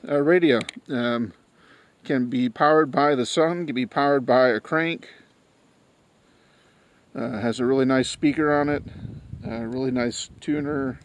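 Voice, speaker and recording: A man's voice: mid-range voice, no echo. A man hosting an informal hands-on product video, talking casually and a little crudely. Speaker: host of product unboxing videos. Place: small metal trailer, then outdoors in snowy yard.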